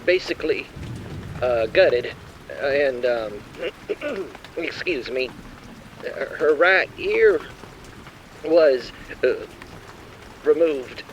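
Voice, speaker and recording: Halting, shaken fisherman, slow. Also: mid-range voice, lively intonation, dull audio, slight background noise. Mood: shaken, queasy. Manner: hesitant, stammering. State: shaken, nauseated. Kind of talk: TV news eyewitness interview acting.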